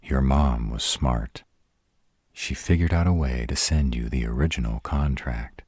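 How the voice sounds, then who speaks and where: Roleplay audio: male performer as a fierce, devoted dragon-shifter mate, extremely low and husky, crude yet tender, recorded dry. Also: darkish tone, dry recording, heavily acted. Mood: affectionate, protective. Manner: slow, crude-tongued, tender with partner. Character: protective, devoted male were-dragon lover, brash and fierce. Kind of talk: roleplay audio drama acting.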